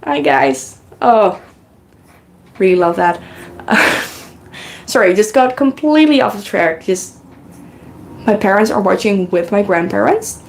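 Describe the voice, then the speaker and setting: Dutch-native lady rambling on a live stream, slow easy pace, bit of vocal fry. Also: medium pitch, expressive, a little hiss or hum. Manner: rambling, chatty, reads and thanks chat comments. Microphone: good podcasting microphone.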